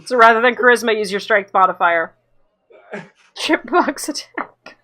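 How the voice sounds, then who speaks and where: A woman in her thirties running a tabletop game over a headset on a video call, laughing often, her voice getting hoarse from laughter. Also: a little high, expressive, normal speed, sharp tone. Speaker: game master. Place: small room at home, video call.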